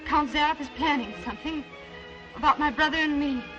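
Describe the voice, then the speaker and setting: A woman's voice: high-pitched, brisk, highs cut off, music in the background, close to an everyday voice. A woman playing an anxious, refined young woman, pleading urgently in old film melodrama style. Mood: frightened, urgent. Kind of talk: 1930s film melodrama acting.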